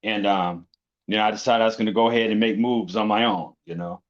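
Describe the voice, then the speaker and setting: Man, podcast guest, relaxed storyteller, keeps saying you know and right, muffled lo-fi sound. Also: lowish voice, quick pace. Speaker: interview guest. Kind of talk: casual conversation.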